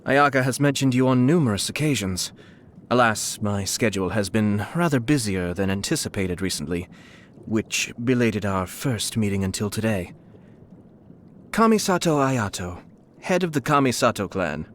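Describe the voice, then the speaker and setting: An actor playing a suave young nobleman and scheming clan head in a video game, speaking in a polite, measured, formal and slyly amused manner. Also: fairly low pitch, animated, a bit bright, a little hiss or hum. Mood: courteous. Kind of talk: scripted video game character lines.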